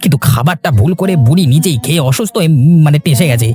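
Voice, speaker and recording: An actor playing a greedy, scheming village husband in a cartoon, gruff and dismissive, with a snapping delivery. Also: male voice, fairly high pitch, expressive, fast, mellow, close to an everyday voice. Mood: guilty confession. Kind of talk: dubbed cartoon dialogue. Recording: music under the voice.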